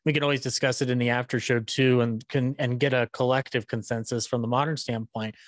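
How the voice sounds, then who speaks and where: A man's voice: medium pitch, steady tone, fairly quick, no room sound. A man in his thirties hosting a casual interview on a close microphone, chatty and stumbling over his phrasing. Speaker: podcast host. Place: small room, indoors, on a video call. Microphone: close podcast microphone on a boom arm.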